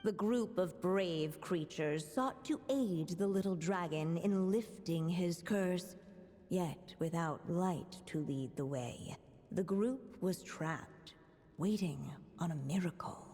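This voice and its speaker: A low, unhurried storybook narrator, solemn and wistful. Her scripted fairy-tale delivery rings in a long reverb. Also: warm tone. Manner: measured, solemn. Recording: long reverb, faint noise.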